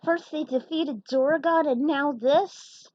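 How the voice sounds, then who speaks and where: A woman voicing excitable young heroes from an adventure fan story, exclamatory and dramatic. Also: sits high, unhurried, no room sound, muffled recording, pitched above the performer's own voice. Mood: panicked. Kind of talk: amateur character acting in storytelling.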